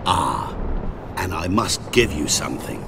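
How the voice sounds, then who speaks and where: An actor voicing a courteous but sinister merchant of bargains in a video game, with a theatrical, insinuating manner. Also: male voice, rumbling low, big pitch swings, measured pace, gravelly, heavy background noise, exaggerated voice. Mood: sinister, businesslike.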